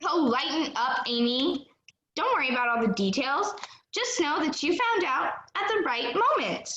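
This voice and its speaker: Woman playing a high-voiced, smugly scheming teenage outlaw girl. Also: very animated, normal speed, bright, forceful tone, roomy sound, highs cut off, full character voice. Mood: smug, taunting. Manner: smug, breezy, confident. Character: cocky, scheming teenage girl bank robber, coolly taunting. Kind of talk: amateur stage play acting.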